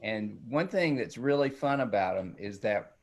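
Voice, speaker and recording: A man's voice: lowish voice, lively intonation, moderate pace. A middle-aged man giving a casual, rambling drawing demonstration for children, often checking in with the viewer.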